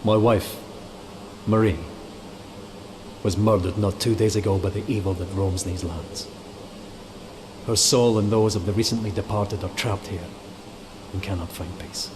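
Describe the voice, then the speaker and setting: An actor playing a grieving holy warrior in a fantasy game, speaking in an earnest, grave and formal manner. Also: male voice, fairly low pitch, measured pace, long pauses, lightly acted. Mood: sorrowful. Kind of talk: dramatic video game acting. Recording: clear steady background hiss.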